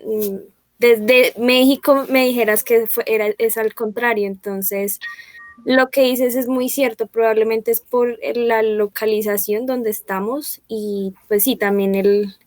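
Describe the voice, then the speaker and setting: Woman with a bright, dry voice. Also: fairly high pitch, steady tone, measured pace, no echo. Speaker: participant translator. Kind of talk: casual comment in a class discussion.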